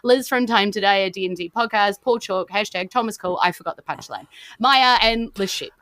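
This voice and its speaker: A woman's voice: medium pitch, fairly quick, fairly bright tone, no echo. A woman with a New Zealand accent hosting a podcast as game master, chatting in a casual, jokey, informal way. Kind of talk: casual podcast outro chat.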